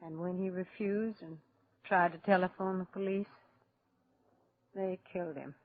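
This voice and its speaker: An actress playing a proud, dignified middle-aged widow, speaking formally and haughtily in 1950s radio drama style. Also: female voice, on the low side, steady tone, measured pace, dry recording. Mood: anguished confession. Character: proud, dignified widow guarding her family's name. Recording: dull, muffled vintage radio recording.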